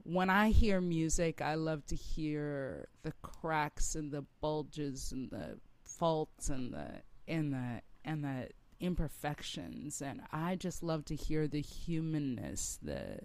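Slow, smooth-voiced reflective woman. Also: low-pitched, lively intonation, dry recording. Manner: reflective, searching for words as she goes. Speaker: rock singer, guest. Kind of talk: conversational interview.

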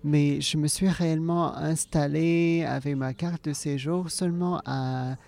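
A woman's voice: low voice, steady tone, a little slow, vocal fry, mellow. Hesitant woman with mixed French accent. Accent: Hong Kong and Alsatian tinged French. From Hong Kong. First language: Cantonese. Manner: hesitant, many fillers and restarts. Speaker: comedian guest. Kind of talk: interview answers.

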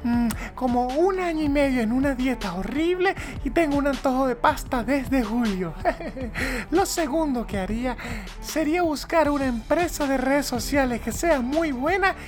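A high, sugary alien beauty queen, as a man puts her on. She talks with a breathy, far-off tone and a sweet, slow pageant lilt, all smiles and candor. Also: lively intonation, music in the background. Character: ditzy alien beauty queen, young woman.

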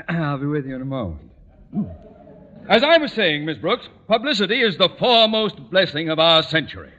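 Pompous principal, lively forceful voice. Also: male voice, on the high side, normal speed, slight background noise. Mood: flustered, fawning. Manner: pompous, oratorical. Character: pompous, blustering middle-aged male high school principal. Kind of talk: 1940s radio comedy acting. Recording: dull old-time radio recording.

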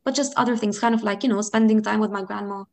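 A woman from Lebanon with a Lebanese accent, Arabic her first language, speaking reflectively as an interview guest and often pausing to rephrase her thoughts. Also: mid-range voice, steady tone, gravelly, darkish tone. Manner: reflective, thinks aloud, frequent "you know" and restarts. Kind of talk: conversational interview.

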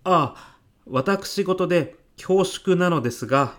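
A man's voice: high voice, lively intonation, slow pace. Man acting a meek, apologetic office worker. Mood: nervous, polite. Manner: very polite, hesitant, deferential. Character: meek, apologetic male office worker addressing his boss. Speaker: voice actor in a short comedy radio drama. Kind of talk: comedic radio drama acting.

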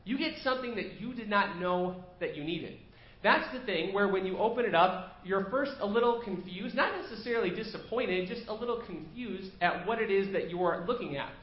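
A man's voice: sits high, lively intonation, moderate pace. A man preaching an evening sermon as pastor, slightly nasal from a cold, talking in a conversational, storytelling way in a church. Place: church sanctuary. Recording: echoey church room, dull lo-fi sound.

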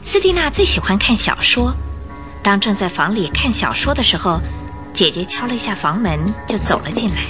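A woman's voice: mid-range voice, big pitch swings, normal speed. A woman narrator reading scripted storytelling narration for a radio drama. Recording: radio drama with music behind.

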